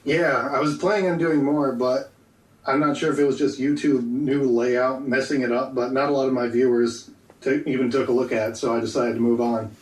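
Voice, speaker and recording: Male voice actor as guest, relaxed and reflective, heard in a roomy space away from the mic. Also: medium pitch. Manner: easygoing, thoughtful, pauses to think. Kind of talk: casual podcast interview. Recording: echoey room, distant from the mic.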